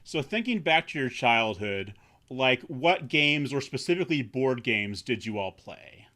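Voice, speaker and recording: A man with a bright, forceful tone hosts a relaxed roundtable over a video call. His pitch sits in a middle range, and he keeps things easygoing with frequent laughter. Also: measured pace. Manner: laid-back, laughs easily. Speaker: host. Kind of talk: casual roundtable chat.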